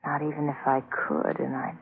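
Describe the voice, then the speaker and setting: An actress playing a seductive, calculating femme fatale with a coy, teasing manner in 1940s radio noir. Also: female voice, low-pitched, little pitch movement, moderate pace, creaky, background noise. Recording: thin narrow-band old radio.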